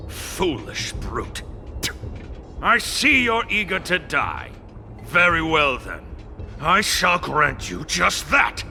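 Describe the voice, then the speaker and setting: Cruel mocking warrior villain for a game: gravelly fry, swooping delivery, gloating, theatrical, music behind. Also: male voice, fairly high pitch, sing-song, takes it slowly, bright, forceful tone, exaggerated voice. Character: boastful bloodthirsty enemy warrior, cruel and mocking. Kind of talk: dramatic video game voice acting.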